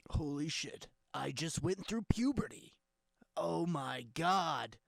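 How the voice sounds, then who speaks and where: A man's voice: a little high, expressive, slow pace, gravelly, no room sound, studio quality. A man co-hosting a comedy podcast, playfully riffing along with improvised jokes. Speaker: podcast co-host.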